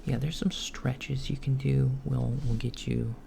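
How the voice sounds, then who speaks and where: A man voices a calm, attentive alien doctor who speaks softly and hesitantly, pausing on ums and slipping into whispers. The voice is heavily gravelly and holds a flat, level pitch. A steady hiss runs under the lo-fi recording. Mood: gentle. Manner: soft-spoken, unhurried, slipping into whispers. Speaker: ASMR roleplay creator. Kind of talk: softspoken ASMR roleplay.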